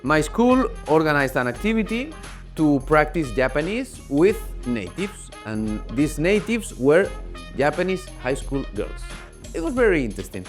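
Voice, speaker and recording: Spanish-accented young man, animated, expressive pitch, chatty vlog storytelling, faint guitar behind. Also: normal speed. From Spain. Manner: animated, talkative, acts out quoted voices. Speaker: vlogger.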